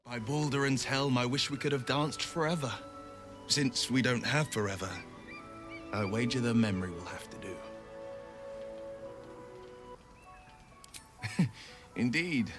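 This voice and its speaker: A man's voice: mid-range voice, normal speed, long pauses, over music, lightly acted. An actor playing a gallant, romantic young swordsman in a video game, courtly, poetic and earnest in manner. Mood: wistful. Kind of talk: dramatic video game voice acting.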